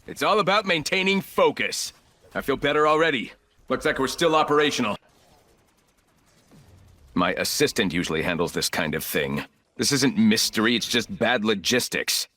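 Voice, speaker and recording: An actor playing an arrogant, ruthless, calculating corporate villain in a video game, speaking in clipped, condescending lines. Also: male voice, medium pitch, sing-song, moderate pace, pauses often, sharp tone. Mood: smug, commanding, then impatient, disdainful.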